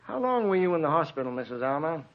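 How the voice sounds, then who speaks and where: An actor playing a calm, probing American police detective, measured and reassuring, in an old-time radio drama. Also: male voice, a little high, slight background noise. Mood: gently probing. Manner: measured, coaxing questioning. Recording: muffled old radio recording.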